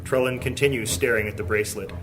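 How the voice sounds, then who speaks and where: A man's voice: mid-range voice, fairly even intonation, fast. Male fantasy narrator over music. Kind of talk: dramatic scripted fantasy narration.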